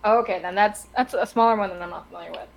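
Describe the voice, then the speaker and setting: A woman's voice: mid-range voice, rapid-fire, creaky, dull audio, over a noisy crowd. Female co-host, mid-range voice, casual and enthusiastic, tends to ramble through her stories. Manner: chatty, rambling, enthusiastic storytelling. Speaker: co-host of a talk stream. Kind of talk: casual chat.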